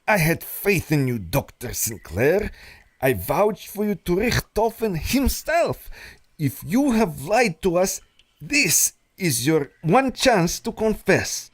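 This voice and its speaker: A man's voice: high voice, very animated, takes it slowly, breathy edge, heavily acted. An actor playing a pompous, scheming male villain who is a thief of antiquities, haughty and theatrical. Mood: cold. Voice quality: haughty and theatrical, spits insults. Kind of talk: audio drama acting.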